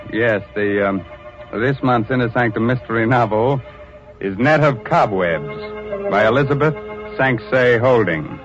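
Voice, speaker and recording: A man hosting a 1940s radio horror show, delivering sly, mocking patter with drawn-out words and chuckles. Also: on the low side. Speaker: show host. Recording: dull old radio recording.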